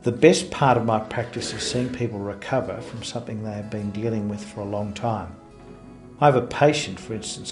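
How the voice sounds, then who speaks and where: Male practitioner giving a testimonial, slightly low register, music underneath. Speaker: homeopathy practitioner. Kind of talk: first-person promotional testimony.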